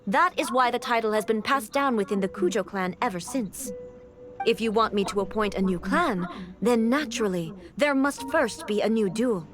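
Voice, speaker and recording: A woman acts out a stern, immortal female ruler in a fantasy game. Her voice sits fairly high and she speaks in formal, commanding lines with cold bluntness. Music plays clearly underneath. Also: animated, normal speed, lightly acted. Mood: imperious, scornful. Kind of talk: dramatic video game acting.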